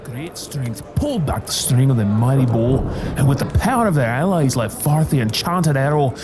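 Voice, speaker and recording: A man playing a grandiose tavern bard, telling a heroic fantasy legend in florid, deliberate, dramatic phrases. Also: mid-range voice, animated, heavy background noise, lightly acted. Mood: epic, solemn. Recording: music behind the voice.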